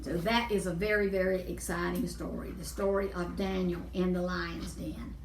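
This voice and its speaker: A woman's voice: on the low side, little pitch movement, normal speed, a little hiss or hum. Echoey woman reading a children's story. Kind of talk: children's Bible story read aloud. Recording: echoey room, distant mic.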